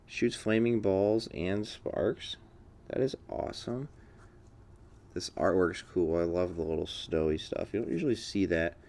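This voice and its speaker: Male vlog host, American, low-pitched voice, informal and enthusiastic, chatting through an unboxing. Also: gravelly. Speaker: fireworks channel host. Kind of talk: casual unboxing commentary.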